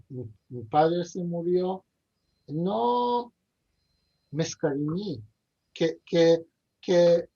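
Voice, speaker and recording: Guy being interviewed on a video call, lots of ums and restarts, kinda husky, dull audio. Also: fairly high pitch, dark tone. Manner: halting, many fillers and repeated words. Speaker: interview guest. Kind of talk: hesitant conversational interview.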